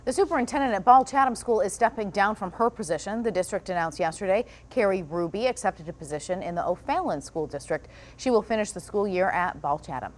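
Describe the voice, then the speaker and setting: A woman's voice: medium pitch, expressive, fast talker. An American woman reading scripted news as an anchor.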